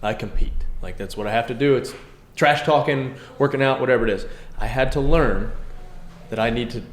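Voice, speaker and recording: A man co-hosting a casual devotional talk, earnest and self-reflective, with a loud, carrying voice. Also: mid-range voice, brisk. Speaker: podcast co-host. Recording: echoey room with faint noise.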